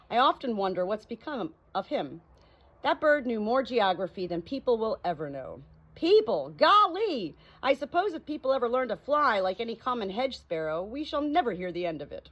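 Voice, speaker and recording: A woman's voice: on the high side, voice pushed up. A woman voicing an old, scornful female parrot in an audiobook, indignant, boastful and full of exclamations. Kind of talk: audiobook character dialogue. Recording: clearly noisy home recording.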